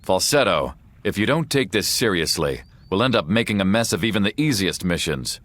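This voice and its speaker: A man's voice: deep voice, expressive, fairly quick, a bit bright. A man voicing a stern, commanding rebel leader in a video game, serious and authoritative.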